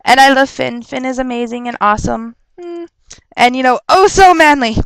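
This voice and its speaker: A young woman rambling casually on a webcam vlog, chatty and quick to laugh and rant, her nose stuffed up from a cold. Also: sits high, gravelly, fairly bright tone, no room sound, rough recording. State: stuffed up with a sore throat. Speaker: vlogger answering viewer questions.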